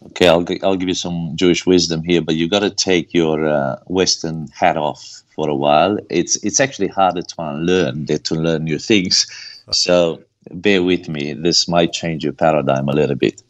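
Romanian-accented male guest, very low voice, earnest and repetitive, sermon-like delivery. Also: steady tone, moderate pace, lo-fi sound. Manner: earnest, repeats phrases for emphasis. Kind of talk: preaching-style interview answer.

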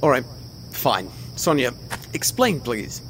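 An actor playing a hurt young ex-boyfriend in an audio drama, sardonic, clipped and dismissive. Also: male voice, mid-range voice, very animated, takes it slowly, fairly bright tone. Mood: irritated. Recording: noisy, night insect ambience behind.

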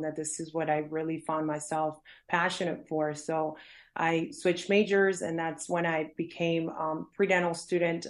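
A woman born in Colombia, a dentist guest, answering interview questions in a friendly way with many fillers and restarts. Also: lowish voice. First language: Spanish.

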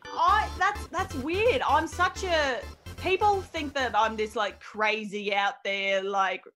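A woman's voice: high voice, slow, sharp tone. Joking woman over background music. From Australia. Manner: jokey, self-deprecating, rambling with fillers. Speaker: radio host guest. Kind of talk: casual interview chat.

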